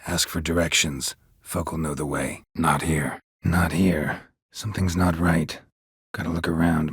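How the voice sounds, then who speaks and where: A man playing a gruff, stoic, sardonic monster-hunting mercenary in a video game, terse and deadpan with a gravelly voice. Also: sits low, dry recording, studio quality, full character voice. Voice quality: gravelly, husky. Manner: terse, deadpan, clipped sentences. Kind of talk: video game dialogue acting.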